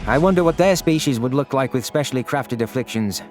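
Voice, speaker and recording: A man's voice: medium pitch, lively intonation, brisk, faint music behind. A man reading scripted first-person science fiction narration as a story narrator. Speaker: narrator of audio stories.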